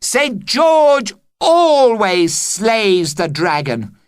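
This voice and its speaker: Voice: medium pitch, sing-song, very slow, clean tone, no echo, voice pushed up. A reader voicing a defiant young boy declaiming as a dragon slayer. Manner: declaiming boldly.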